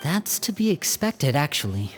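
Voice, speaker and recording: An actor playing a composed, frank young man in a video game, explaining things in a measured way. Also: a little high, big pitch swings, faint music behind. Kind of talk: video game dialogue acting.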